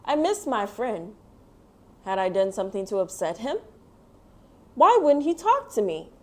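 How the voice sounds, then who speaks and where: Lady reading as a caring little best friend in a kids book, earnest, expressive, pitch moves up a bit. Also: measured pace. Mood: worried, sad.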